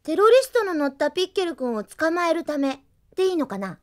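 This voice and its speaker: Very high-voiced girlish rookie policewoman. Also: very animated, fairly quick. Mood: slow, concentrating. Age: young adult. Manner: thinking aloud while writing a diary. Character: young policewoman, earnest, girlish, scatterbrained rookie robot pilot. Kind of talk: anime radio drama acting.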